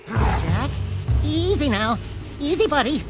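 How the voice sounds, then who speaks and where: A man's voice: very high-pitched, very animated, takes it slowly, heavily acted. A man voicing a small, cocky, wisecracking animal sidekick in a video game, brash and sarcastic. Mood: panicky, cheeky. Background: music.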